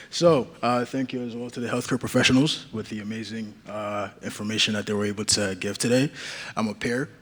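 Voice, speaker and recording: A man's voice: on the low side, fairly even intonation, normal speed, a bit bright. A young man giving an earnest conference talk as a patient advocate, with many fillers and restarts. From Nigeria.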